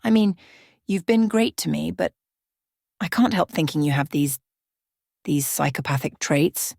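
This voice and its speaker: A woman voicing an awkward, uneasy man in a bedtime story, halting and stammering. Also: sits low, expressive, gravelly, darkish tone, no room sound, clean studio sound, heavily acted.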